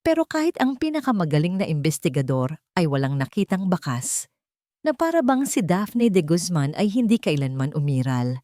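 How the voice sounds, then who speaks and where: Low-pitched Filipino woman narrating a dramatic story. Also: sing-song, normal speed, warm tone, no room sound, clean studio sound.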